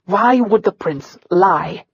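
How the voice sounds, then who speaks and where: A woman voicing a brutal male military commander who talks slowly and coldly, full of menace. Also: sits high, lively intonation, husky, lo-fi sound, heavily acted. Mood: interrogating. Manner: slow, menacing and cold.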